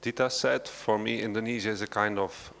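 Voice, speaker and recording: A man from Belgium gives an unscripted talk to a panel audience, low-pitched and fairly flat in his melody. He hesitates a lot, filling gaps with "uh" and "um" and restarting his sentences. His voice carries a long reverb from the large hall. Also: a little fast, gravelly. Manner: hesitant, many fillers and restarts, non-native phrasing. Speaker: panel speaker, researcher. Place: large hall of an art centre.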